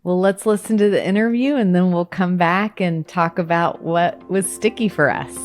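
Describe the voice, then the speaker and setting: A woman's voice: on the low side, faint music behind. Woman, from Guatemala, podcast host, encouraging, easy laugh. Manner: upbeat, encouraging, quick to laugh. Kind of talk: conversational podcast hosting.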